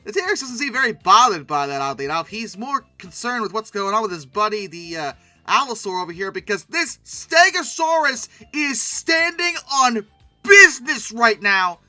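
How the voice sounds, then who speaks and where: Very bright forceful voice, medium pitch, humorous casual commentary, rambling, animated exclamations, faint music behind. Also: moderate pace, dry recording. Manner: animated, exclamatory, mock-outraged outbursts. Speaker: host.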